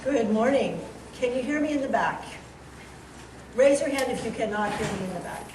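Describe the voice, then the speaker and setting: A woman author born in Iran and raised in the San Francisco area, giving a measured storytelling talk to students in a school library. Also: a little high, animated, very noisy. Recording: distant, roomy school library.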